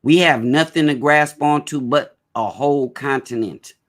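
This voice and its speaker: A man's voice: medium pitch, normal speed. A man hosting a casual talk show, speaking emphatically and often repeating his points. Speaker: host.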